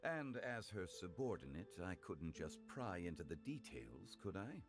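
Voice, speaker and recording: A man playing a composed, erudite gentleman in a video game, speaking with formal, measured eloquence. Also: low voice, very animated, exaggerated voice. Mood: courteous. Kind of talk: game cutscene acting. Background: background music.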